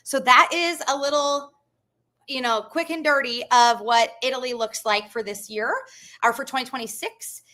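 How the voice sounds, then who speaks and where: Bright, chatty woman hosting a tour stream. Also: high voice. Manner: chatty and upbeat, frequent ums, reads viewer comments aloud. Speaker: travel company host. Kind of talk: casual live-stream tour presentation.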